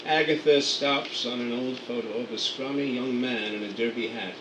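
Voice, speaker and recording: A man narrating radio play scene directions in a steady, matter-of-fact way. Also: mid-range voice, normal speed. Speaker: narrator reading stage directions. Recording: roomy, distant mic, air conditioning hum.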